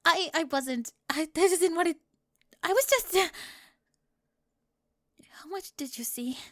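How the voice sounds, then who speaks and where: A woman voicing a flustered, defensive teenage cheerleader bully with a secret crush in an ASMR roleplay, halting and nervous, often dropping toward a whisper. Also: very high voice, expressive, no room sound. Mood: startled, defensive. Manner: halting, self-interrupting, nervous laughs, drops into whispers.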